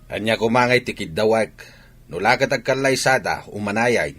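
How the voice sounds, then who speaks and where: A man's voice: lowish voice, animated, moderate pace, a bit bright. A man playing an indignant, stubborn, disapproving father in a radio drama. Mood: grumbling. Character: indignant, stubborn father ashamed of his child.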